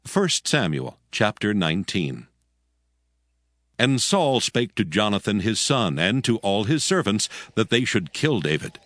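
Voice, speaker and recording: A man narrating a dramatized scripture reading in a solemn, measured storytelling manner. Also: on the low side, very animated, a bit bright, dry recording, studio quality.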